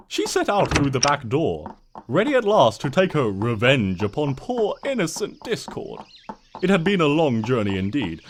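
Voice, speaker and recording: A man performs a smug, theatrical trickster creature narrating a comic storybook. The voice sits slightly high and swoops dramatically from phrase to phrase. The delivery is pompous and wry, full of mock innocence, with faint noise behind it.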